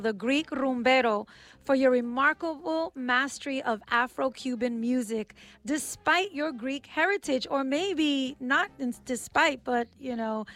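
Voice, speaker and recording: A woman's voice: high voice, a bit bright. A woman co-hosting a radio show, reading a polished guest introduction and asking questions. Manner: upbeat, scripted-sounding introductions. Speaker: radio co-host.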